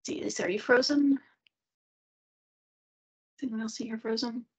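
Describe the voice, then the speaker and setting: A woman in middle age with a rough-edged voice, the sort who hosts a professional networking call from her home office. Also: fairly high pitch, steady tone, moderate pace. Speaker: host of an online group call. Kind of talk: casual moderating and questioning. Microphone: video call.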